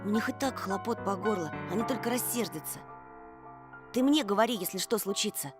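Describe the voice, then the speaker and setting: Actress as firm adult guardian, quick admonishing delivery, visual novel drama, prominent music. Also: female voice, medium pitch, lively intonation, close to an everyday voice. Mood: hushed insistence. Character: firm, dismissive adult looking after a child. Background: game music.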